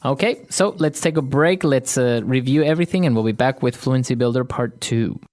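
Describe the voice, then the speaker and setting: A man's voice: mid-range voice, fairly quick, over loud music. A man from Ecuador co-hosting an English lesson podcast, explaining phrases and affirming his co-host. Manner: affirming, instructive.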